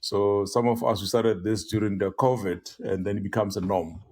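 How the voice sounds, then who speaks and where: Low-voiced man, rambling panellist. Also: steady tone, a little mellow. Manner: rambling, halting with repeated fillers. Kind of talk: asking a long interview question.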